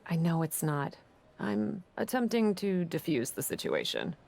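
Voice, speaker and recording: A woman's voice: lowish voice, wildly swooping pitch, unhurried, vocal fry, a little mellow, exaggerated voice. A woman playing a brutal, defensive female pro wrestler with dry wit in a video game cutscene. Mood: deadpan, dry.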